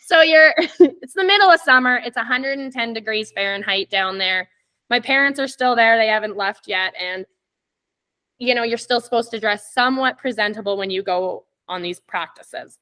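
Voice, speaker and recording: A woman in her twenties from Canada, a podcast guest telling stories in casual chat, laughing often and filling pauses with "like" and "um". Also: a little high, pushed and bright, smooth. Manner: chatty, laughs often, many "like" and "um" fillers.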